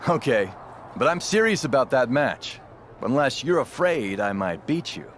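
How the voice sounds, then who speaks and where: A man performs a veteran wrestler in a game cutscene, full of himself and very animated. He leans hard on phrases and stretches them out for drama, with a slight noise in the background. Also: mid-range voice, normal speed, close to an everyday voice. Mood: cheerfully cocky. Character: boastful veteran male wrestler with a cheery, wide-eyed alter ego. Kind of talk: scripted video game cutscene acting.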